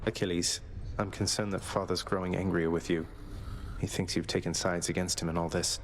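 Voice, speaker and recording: An actor playing a wry, rebellious young prince of the Underworld in a video game. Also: male voice, low-pitched, little pitch movement, brisk, background noise. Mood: earnest.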